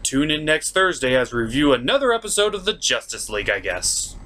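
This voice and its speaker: A man's voice: fairly high pitch, very animated, fairly quick, bright, forceful tone. A man hosting a scripted comic review show, speaking in a sarcastic, theatrical way. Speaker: host of a puppet cartoon review show.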